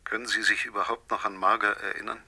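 Radio drama villain: menacing, coldly controlled caller; lowish, piercingly forceful voice, deliberate, mocking. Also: male voice, little pitch movement, creaky, exaggerated voice. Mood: bitter, measured. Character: menacing, vengeful husband, coldly controlled anonymous phone caller.

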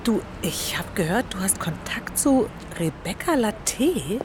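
A woman's voice: mid-range voice, very animated, slow, background noise. A woman playing a gushing, self-important acquaintance making small talk in a radio play.

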